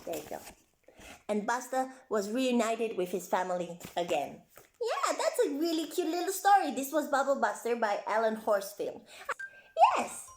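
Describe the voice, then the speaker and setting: A woman reading a story aloud for children as a clown presenter, expressive and exclaiming, with chatty asides to her young listeners. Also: on the high side, normal speed, background noise. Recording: roomy echo, music faintly behind.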